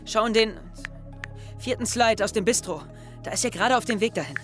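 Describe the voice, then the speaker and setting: A man's voice: sits high, fairly quick, music in the background, natural voice. A young man playing a brooding, suspicious teenage schoolboy in a German audio drama, with a hesitant, introspective manner. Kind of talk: naturalistic audio drama dialogue and monologue.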